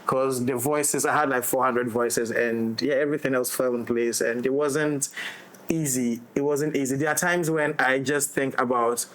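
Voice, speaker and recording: A man's voice: medium pitch, fairly even intonation, normal speed, fairly bright tone, low-quality recording. Reflective man in casual discussion. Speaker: audio drama director.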